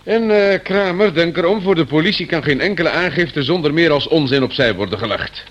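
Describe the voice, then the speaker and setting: A self-important police inspector in a radio drama. He speaks fairly high with lively, swinging pitch, pondering and questioning pedantically. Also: a little fast, highs cut off. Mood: officious, hesitant. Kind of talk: radio play acting.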